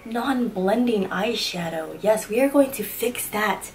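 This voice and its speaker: A woman's voice: medium pitch, lively intonation, measured pace, a little hiss or hum, poor audio. A young woman in her twenties with a stuffy nose from a cold, chatting casually and slangily while walking through a makeup tutorial in a small room. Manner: chatty, slangy and self-teasing. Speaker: beauty vlogger.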